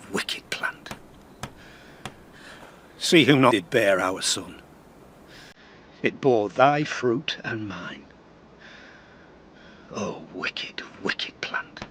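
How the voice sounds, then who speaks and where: A man's voice: mid-range voice, a bit bright. Grief-crazed older father, British accent, vengeful, theatrical, volatile, classical radio drama, faint noise. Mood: bitter grief. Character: grief-maddened, vengeful older Spanish father and knight-marshal. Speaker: actor.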